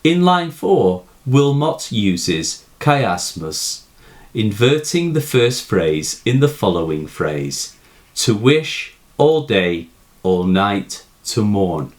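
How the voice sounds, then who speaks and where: A man presenting a scripted literary analysis and reciting verse as a teacher, with measured, deliberate phrasing and dramatic emphasis. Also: fairly low pitch, very animated.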